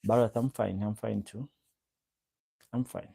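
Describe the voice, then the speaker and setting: A young man from Uganda chats loosely with his livestream viewers, calling out their names and repeating each question several times. His voice is low and very dark, with a gravelly fry, recorded dry and close with no room sound. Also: fairly even intonation, unhurried, no room sound. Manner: greets viewers by name, repeats himself. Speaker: livestream host. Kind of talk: casual livestream chat reading comments.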